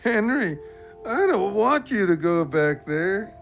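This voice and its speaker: A man's voice: high-pitched, very animated, slow pace, creaky, faint music behind, exaggerated voice. An actor playing a plain-spoken male friend in an audio drama. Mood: concerned, puzzled. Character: Big Joe, slow-talking, plain-spoken male friend.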